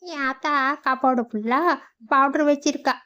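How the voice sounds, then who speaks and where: A woman's voice: sits high, steady tone, moderate pace, fairly bright tone, dull audio. A woman voicing a fussy young city girl visiting a village in a cartoon. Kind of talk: cartoon voice acting.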